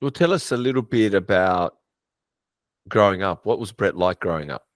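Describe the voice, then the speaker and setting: A man hosts a casual podcast, steering his guest with questions and little jokes. His voice is low, and it comes across close and dry. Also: lively intonation, moderate pace, no room sound. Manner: joking, prompting with questions. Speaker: podcast host.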